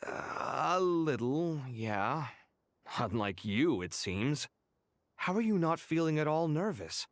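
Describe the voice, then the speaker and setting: An exasperated, expressive young scholar. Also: male voice, a little high, no echo, full character voice. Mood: uneasy, puzzled. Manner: animated, exasperated, quick to protest. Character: young male scholar, expressive, easily flustered. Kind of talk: game cutscene voice acting.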